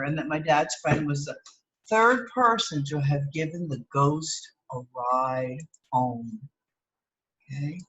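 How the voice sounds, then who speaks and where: A woman's voice: low-pitched, fairly bright tone, low-quality recording. A woman with an American accent teaching through a read-aloud, adding explanatory asides and often checking in with "okay?".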